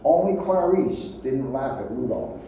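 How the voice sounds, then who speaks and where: A man's voice: medium pitch, lively intonation, measured pace, creaky, warm tone. A man reading a children's storybook aloud, adding his own offhand asides. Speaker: police officer reading aloud. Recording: muffled, very echoey, distant, faint noise.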